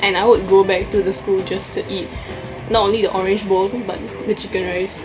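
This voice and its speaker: A woman's voice: medium pitch, little pitch movement, a little fast, music in the background. A young woman from Singapore with a Singaporean English accent, chatting casually through a question tag in her vlog. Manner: chatty, answers questions from a list. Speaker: vlogger.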